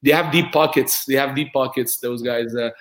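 A man's voice: mid-range voice, fairly even intonation, moderate pace, a bit bright. A man with a French accent talking casually, often repeating words and restarting sentences as he looks for the next word. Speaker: fight manager and promoter. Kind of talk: casual podcast chat.

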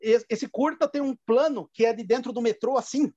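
A man's voice: very high-pitched, brisk, warm tone, dry recording, muffled recording. A man with a Rio Grande do Sul accent, a filmmaker telling stories in a casual interview, with many restarts and laughs. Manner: rambling anecdotes with frequent restarts and laughs. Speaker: filmmaker guest.

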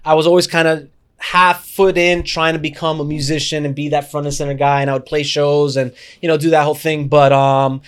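A man of about thirty talking casually as an interview guest, projecting his voice in an animated, loud, sweary way. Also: on the high side, a little fast, clear, resonant tone. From Cuban background, Miami scene. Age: around 30. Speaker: guest, self-taught video creator and filmmaker. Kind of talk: casual conversational interview, storytelling.

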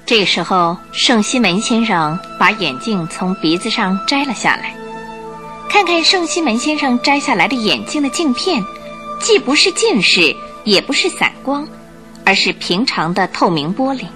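A woman narrating a radio drama in an expressive, scripted storytelling style. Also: a little high, moderate pace, over music.

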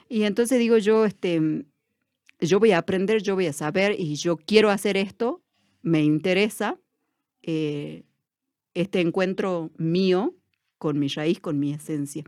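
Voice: mid-range voice, no echo, clean studio sound. A person with an Argentine Spanish accent, speaking as an interview guest in a reflective way, with many fillers and restarts.

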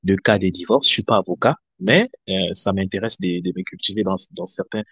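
Low husky-voiced man from africa, hesitant and polite, lots of pauses, dry sound. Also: fairly bright tone, dry recording. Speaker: guest caller. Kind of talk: casual live discussion.

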